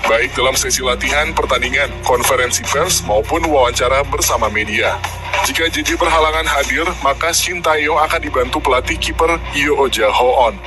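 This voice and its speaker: A man's voice: mid-range voice, moderate pace. Indonesian male sports news narrator over music. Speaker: news narrator for a football channel. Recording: music bed under the voice. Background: background music.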